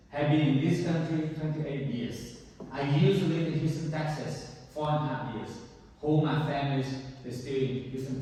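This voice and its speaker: Slow Vietnamese-accented monk in echoey hall. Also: male voice, a little high, slight background noise. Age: 50s. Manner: slow, gentle, pausing with many "uh"s. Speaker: visiting Buddhist monk. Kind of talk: dharma talk to high school students. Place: large temple hall. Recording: echoey hall, mic far off.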